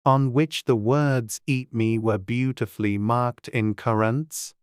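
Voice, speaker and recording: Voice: mid-range voice, steady tone, slow, smooth, no room sound, clean studio sound. A narrator reading a children's storybook as a scripted audiobook.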